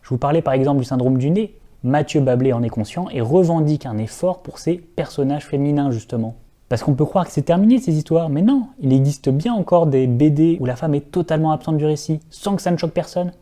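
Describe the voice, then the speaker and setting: A man's voice: a little high, fairly quick, warm tone. Earnest young man narrating a video essay. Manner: earnest, lightly ironic asides to viewers.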